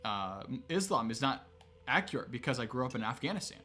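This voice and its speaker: A man's voice: medium pitch, brisk. Casual male streamer answering questions. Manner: relaxed, conversational, explains at length with many "um"s. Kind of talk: casual livestream Q&A.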